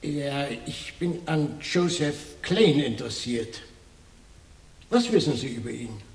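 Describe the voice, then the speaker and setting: Echoey, distant detective. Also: male voice, medium pitch, big pitch swings, slow, gravelly, heavily acted. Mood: businesslike. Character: persistent male private detective questioning a suspect. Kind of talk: radio crime drama dialogue. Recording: distant, echoey, noisy room sound.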